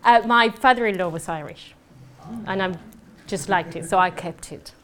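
Spanish woman talking fast at a conference, jokey, chatty with the crowd. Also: medium pitch, a bit bright. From southern Spain. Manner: fast, chatty and joking with the audience. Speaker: networking speaker. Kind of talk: lively conference presentation. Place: conference room. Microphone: mic at a talk.

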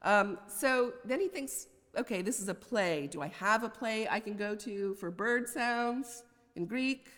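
A woman's voice: medium pitch, expressive, unhurried, fairly bright tone. A woman with an American accent giving an academic poetry lecture, scholarly but with conversational asides. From United States. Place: large indoor lecture hall. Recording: reverberant lecture hall.

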